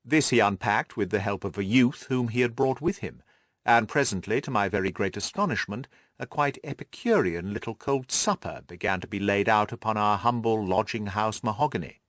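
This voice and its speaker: A man's voice: lowish voice, animated, moderate pace, a bit bright, no echo, clean studio sound. A man reading scripted literary narration as an audiobook narrator.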